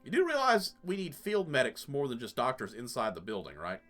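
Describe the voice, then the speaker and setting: Male let's play host, middle-aged, conversational and animated, bright voice with music behind. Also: mid-range voice, brisk. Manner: rambling, conversational. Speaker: gaming host. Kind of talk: casual let's play commentary. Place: small cluttered indoor room.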